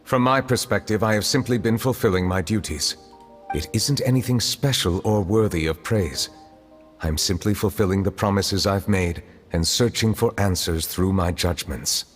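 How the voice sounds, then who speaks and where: A man's voice: low-pitched, in a large hall, soft background music, lightly acted. A man playing a solemn ancient judge. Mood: calm. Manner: formal, measured, restrained. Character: ancient, formal, solemn male chief justice. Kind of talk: video game voice acting.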